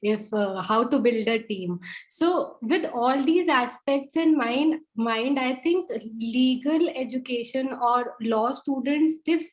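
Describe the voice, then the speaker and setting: A woman's voice: high voice, slow pace, rough recording. A woman hosting an interview, telling personal stories with some fillers. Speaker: host. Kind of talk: interview conversation.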